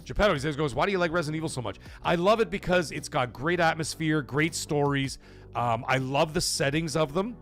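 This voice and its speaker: A man's voice: a little high, brisk, faint music behind. Chatty man hosting a game livestream. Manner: rambling, animated, answers chat by name. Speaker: livestream gaming show host. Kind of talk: casual livestream chat reading chat comments.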